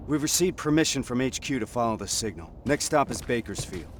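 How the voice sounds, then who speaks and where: Game role: male actor as steady resistance squad leader giving clipped orders, mid-range, ambient noise. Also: medium pitch, fairly quick, close to an everyday voice. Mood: commanding. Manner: authoritative, clipped. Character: commanding male resistance sergeant, steady and terse. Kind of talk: video game acting.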